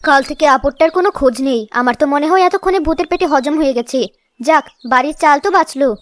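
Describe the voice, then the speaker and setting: A woman voicing a bossy, greedy, sharp-tongued village sister-in-law in a children's cartoon. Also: high-pitched, fairly quick, no room sound, lightly acted. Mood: scornful, bossy. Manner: scolding, imperious. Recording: music under the voice. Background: children's music.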